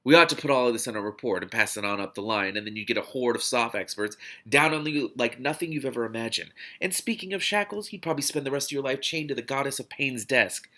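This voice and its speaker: A man's voice: mid-range voice, fast, few pauses, bright, forceful tone, close to an everyday voice. A man voicing a blunt, wisecracking male field agent from a novel, speechifying in emphatic slang. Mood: urgent, frank.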